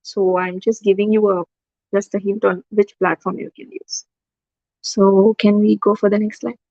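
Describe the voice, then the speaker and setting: A woman's voice: mid-range voice, flat delivery, moderate pace, darkish tone, no echo. A young woman with a Tamil-accented English voice and a cold, giving a casual slide talk with many fillers and switches into Tamil. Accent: Indian English, Tamil. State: has a cold. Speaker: alumna entrepreneur giving a talk. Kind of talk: informal presentation with slides. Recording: muffled dry sound.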